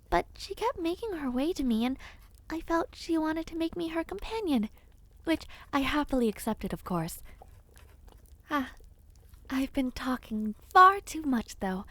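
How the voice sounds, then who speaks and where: A woman playing a kind, dreamy witch in an audio roleplay, soothing and gently formal, easily sidetracked. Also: high-pitched, no room sound, exaggerated voice. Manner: gentle, soothing, old-fashioned formal phrasing, easily distracted. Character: kind, dreamy peculiar witch, otherworldly and caring. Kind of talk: audio roleplay addressed to the listener.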